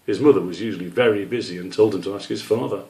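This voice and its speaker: A man's voice: on the low side, fast, dark tone, rough recording. A man narrating a children's storybook as the author reading his own work.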